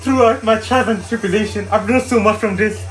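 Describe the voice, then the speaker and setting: A man's voice: high-pitched, steady tone, brisk, a bit bright, low-quality recording. A man in his twenties with a London accent, reacting casually in slang and repeating phrases for emphasis. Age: 20s. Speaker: reaction video host. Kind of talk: casual group reaction chat. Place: small living room, indoors on a couch. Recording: music clearly playing behind voice.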